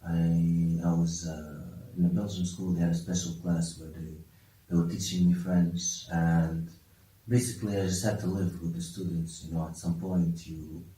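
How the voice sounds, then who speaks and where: A man's voice: deep voice, little pitch movement, normal speed, warm tone. A young man whose first language is Arabic, answering interview questions in a casual, hesitant way with many restarts. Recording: echoey room from a distance.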